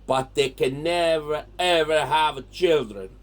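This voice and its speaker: A man's voice: fairly high pitch, slow. A man playing a hot-tempered old Italian grandfather in an audio drama, scolding in broken English with an Italian accent and dropping into Italian. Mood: grave, lecturing. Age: older.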